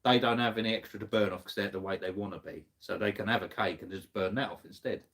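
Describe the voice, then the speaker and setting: A woman's voice: extremely low pitch, fast. A woman in her thirties co-hosting a casual chat, matter-of-fact and plain-spoken. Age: 30s.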